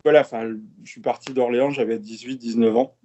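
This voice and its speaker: Guest spot: laid-back French man in his fifties, middle pitch, rambling anecdotes. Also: dull audio. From France. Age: 50s. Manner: relaxed storytelling, quick asides and laughs. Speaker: guest tattoo artist. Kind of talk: casual podcast chat.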